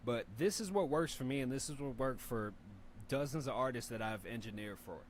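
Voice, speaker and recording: Laid-back deep-voiced man giving mixing tips. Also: brisk, slight background noise. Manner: laid-back, rambling, profane, lots of fillers. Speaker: rap review show host and engineer. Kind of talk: casual spoken feedback and mixing tips.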